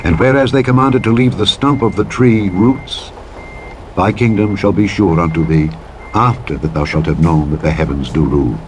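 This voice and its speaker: Man, fairly low pitch, Bible narrator, solemn measured declamatory reading, clear vehicle noise in the background. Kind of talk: solemn scripture reading, King James English. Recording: truck engine and reversing beeps over reading. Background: truck simulator game vehicle noise.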